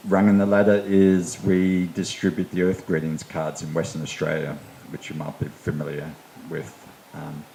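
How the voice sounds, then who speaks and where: A man's voice: deep voice, vocal fry, dark tone, slight background noise, rough recording. A man introducing himself as a business director at a panel, hesitant with dry humour.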